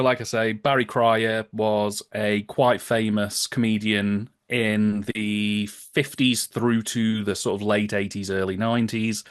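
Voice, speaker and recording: A man's voice: on the low side, no room sound, studio quality. A British man chatting casually as a podcast guest, wry and informative as he explains things.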